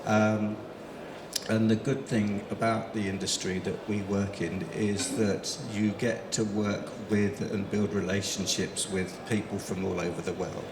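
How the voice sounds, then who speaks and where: Middle-aged guy hosting a panel, kinda low, loads of uh's, echoey conference hall w/ chatter. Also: level tone, unhurried. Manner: rambling, hesitant, many fillers. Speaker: panel moderator. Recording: echoey hall with background noise.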